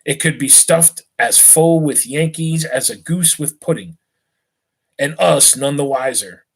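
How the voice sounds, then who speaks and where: A man's voice: a little high, lively intonation, unhurried, creaky, husky, exaggerated voice. A man reading the lines of a restless, grumbling young British soldier from a storybook. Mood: eager.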